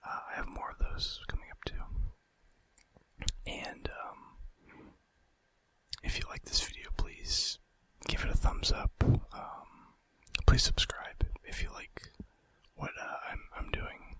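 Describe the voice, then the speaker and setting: A man doing an informal ASMR fact video in a breathy, unvoiced whisper with a hesitant delivery. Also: slow, a bit bright, poor audio. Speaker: ASMR host.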